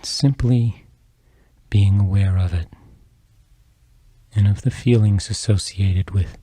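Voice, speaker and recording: Very mellow, slow-paced male meditation guide. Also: on the low side, fairly even intonation, husky, no room sound. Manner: unhurried, measured phrases with long pauses.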